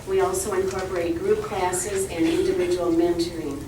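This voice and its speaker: A soft-spoken woman presenting a program from prepared notes at a meeting. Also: fairly low pitch, fairly even intonation, normal speed. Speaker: ministry representative. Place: meeting room. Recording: roomy, distant, noisy room recording.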